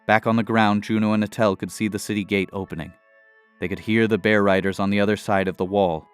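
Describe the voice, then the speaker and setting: Deep, smooth male fantasy narrator. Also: level tone, faint music behind. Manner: dramatic, measured storytelling. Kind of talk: scripted fantasy audio narration.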